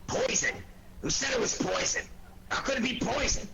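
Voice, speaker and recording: An actor playing a blustery, irritable police inspector with an American accent and a gruff, gravelly, bossy delivery in a 1940s radio drama. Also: male voice, very high-pitched, animated, moderate pace, highs cut off, exaggerated voice. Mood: defensive, irritable. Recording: noisy 1940s radio recording.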